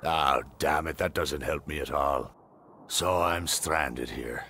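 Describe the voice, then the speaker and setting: An actor playing a gruff, scornful pirate captain with a curt, grumbling manner in a video game. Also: male voice, a bass voice, takes it slowly, bright, forceful tone, a little hiss or hum, exaggerated voice. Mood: gruff, frustrated.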